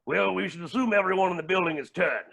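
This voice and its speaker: A man playing a flamboyant, menacing and unhinged billionaire villain in a staged play reading, with a raspy, creaky voice and grandiose delivery. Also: sits high, fast talker, exaggerated voice. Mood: breezy command. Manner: theatrical, grandiose, swinging from mutters to shouts.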